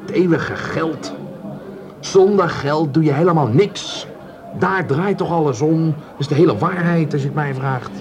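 An actor playing a dreamy, self-mocking unemployed plumber in a radio play, rhapsodic and stammering, repeating himself. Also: male voice, on the high side, measured pace, crowd noise, close to an everyday voice. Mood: earnest, impressed.

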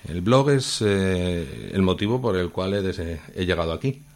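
A man with a Madrid Spanish accent, answering as an interviewed guest on a radio show in halting, measured phrases. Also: low-pitched, animated, darkish tone. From Madrid, Spain.

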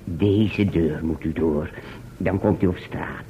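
Low-voiced, earnest medieval sage. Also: male voice, slow. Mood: worried. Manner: earnest, urging. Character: kindly, worried medieval sage, secretive ally. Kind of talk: radio drama acting. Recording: muffled, noisy old radio play tape.

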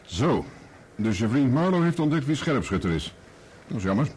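An actor playing a brisk newspaper boss in a Dutch radio play, decisive and dismissive. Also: male voice, mid-range voice, sing-song, darkish tone, natural voice. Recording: old radio play, clear background noise.

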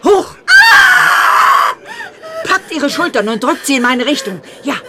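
An actress playing a resolute, insistent medieval midwife who commands yet stays deferential, in a German radio drama. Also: female voice, high voice, lively intonation, measured pace, raised voice, crowd noise. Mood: straining, commanding. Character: urgent, resolute medieval village midwife, plain-spoken servant woman.

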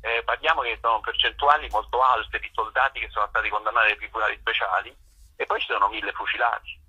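A man's voice: fairly low pitch, fairly even intonation, quick pace, bright, forceful tone, husky. A man interviewed by phone as a guest performer, explaining discursively and searching for his phrases. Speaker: theatre writer-performer being interviewed. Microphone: telephone call to radio. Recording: dull, band-limited phone line.

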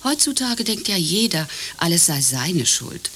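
An actress playing an overbearing, prim hostess who swings from cooing to indignant scolding in a satirical radio play. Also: female voice, lowish voice, very animated, unhurried, a bit bright, faint music behind. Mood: cooing, insistent. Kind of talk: German radio play acting, satirical grotesque.

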